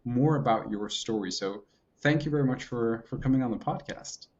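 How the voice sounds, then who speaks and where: A man's voice: medium pitch, moderate pace, darkish tone. A man hosting a podcast interview, encouraging his guest with short affirmations. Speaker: podcast host. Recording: dull muffled audio.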